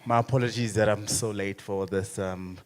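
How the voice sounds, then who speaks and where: A man speaking as a panelist at a conference, talking in a relaxed way with many fillers. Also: fairly low pitch, moderate pace. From South Africa. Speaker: panelist, fashion designer. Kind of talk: panel introduction. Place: conference hall. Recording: reverberant hall.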